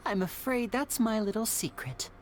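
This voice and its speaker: A woman voicing a composed young male stage magician in a video game, polite and theatrical in a measured way. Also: a little high, darkish tone, a little hiss or hum. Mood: playful. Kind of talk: scripted video game dialogue.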